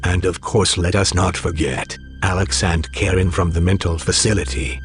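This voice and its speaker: A man's voice: low voice, normal speed, soft background music, close to an everyday voice. A man playing an eager, courteous reporter in an audio drama, polite and intrigued. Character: Brad, eager, courteous male newsman keen for a story.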